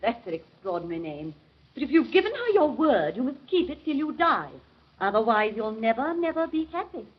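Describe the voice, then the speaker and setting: A woman's voice: on the high side, big pitch swings, fairly quick, slightly rough. A woman playing a prim aunt in a 1930s radio drama, lecturing a child sternly on being true. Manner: stern and preachy, with a faltering stumble. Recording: dull 1930s radio broadcast.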